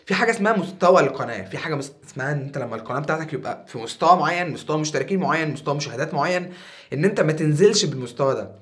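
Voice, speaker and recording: A young man in his twenties with an Egyptian Arabic accent, talking casually to camera as a YouTuber in a small foam-paneled room, animated and a bit tired. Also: a little high, racing. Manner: animated, gestures a lot with his hands.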